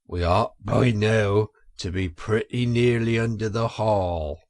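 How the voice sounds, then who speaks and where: Man voicing a low, commanding badger. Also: takes it slowly, darkish tone, no echo, clean studio sound. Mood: placid. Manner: placid, commanding. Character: gruff, steady, commanding older male badger leader. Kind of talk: audiobook character voice.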